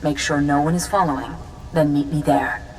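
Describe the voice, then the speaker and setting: A woman plays a secretive official in a game cutscene. She is low-voiced, clipped and commanding. Reverb and a faint rumble surround her. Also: steady tone, moderate pace, close to an everyday voice. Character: clipped, secretive female official on a secure link. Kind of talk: video game cutscene acting.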